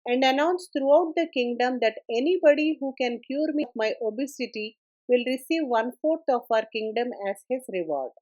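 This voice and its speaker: A woman's voice: sits high, animated, moderate pace, darkish tone, dull audio. A woman voicing a pampered, hot-tempered fat king in a storybook tale, imperious and commanding.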